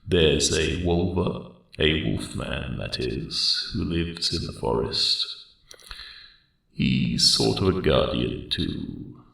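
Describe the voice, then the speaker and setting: An immense, ancient dragon speaks in an extremely deep, growling, gravel-filled voice that echoes heavily through a cave-like space. He is a courteous, wry old guardian who takes his time, rambling amiably in genteel, old-fashioned phrases for an ASMR fantasy roleplay. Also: takes it slowly, a bit bright, heavily acted. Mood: chatty, content. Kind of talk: ASMR character roleplay monologue. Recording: roomy cave-like echo, distant.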